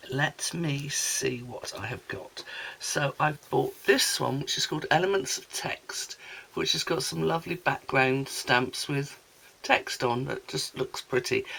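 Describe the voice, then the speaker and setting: Hoarse gravelly woman chatting. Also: very deep voice, pushed and bright, a little hiss or hum, poor audio. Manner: chatty, rambling, many ums and asides. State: hoarse, gravelly from a sore throat. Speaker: craft vlogger. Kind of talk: casual product haul chat.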